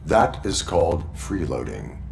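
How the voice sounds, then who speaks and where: Stoic robot cop for a game, super deep n gravelly, formal deadpan, bit of background noise. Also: male voice, a little slow, full character voice. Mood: flat, stoic. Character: stoic robotic cyborg policeman, middle-aged man. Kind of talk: video game acting.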